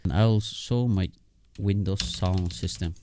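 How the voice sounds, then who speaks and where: A man giving a casual, unscripted software tutorial in a halting, repetitive way, often checking in with his listener. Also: low voice, slight background noise.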